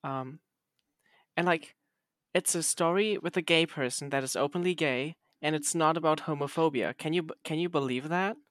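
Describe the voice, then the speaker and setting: A man's voice: a little high, normal speed, a bit bright, no room sound, studio quality. A man hosting a podcast, telling a rambling, hesitant story in casual chat. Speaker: podcast host.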